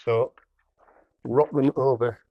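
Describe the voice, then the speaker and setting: Man, mid-pitched voice, casual product demo, plain-spoken step-by-step explaining, dry and slightly dull recording. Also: fairly even intonation, slow pace, no echo. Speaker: hi-fi dealer presenting a product. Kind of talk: casual unboxing demonstration. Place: indoor hi-fi showroom.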